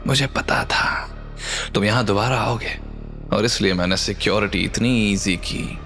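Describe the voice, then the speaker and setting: A man playing a sinister middle-aged villain in an audio drama, gloating in a slow, drawn-out, menacing way. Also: fairly low pitch, creaky, bright, forceful tone, faint music behind, full character voice.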